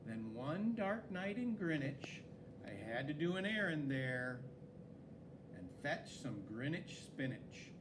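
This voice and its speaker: A man reading a children's story aloud in an expressive, dramatic way, with swooping emphasis. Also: fairly high pitch, slow, background noise. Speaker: story reader.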